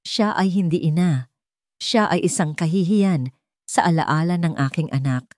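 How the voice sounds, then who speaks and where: A woman's voice: fairly low pitch, a little slow, a little mellow, dry recording, studio quality, lightly acted. A woman voicing a stern elderly grandmother in an audio story, speaking with emphatic, accusing conviction. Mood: indignant, accusing.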